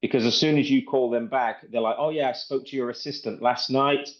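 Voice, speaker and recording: A man's voice: medium pitch, steady tone, a little fast, lo-fi sound. A man giving a casual, rambling sales presentation, explaining things in a repetitive way. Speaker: presenter demonstrating software.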